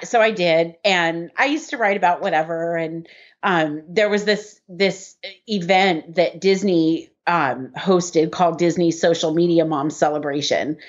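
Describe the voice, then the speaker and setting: A middle-aged woman chatting casually over a video call from home, rambling with lots of fillers. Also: fairly low pitch. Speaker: website content writer. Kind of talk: casual chat. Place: home room. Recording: muffled video call audio.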